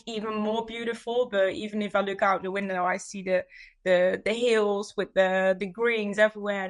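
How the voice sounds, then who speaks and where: A woman's voice: medium pitch, a bit bright. Young Dutch-accented woman telling her story. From Netherlands. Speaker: podcast guest, women's coach. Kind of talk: casual interview storytelling.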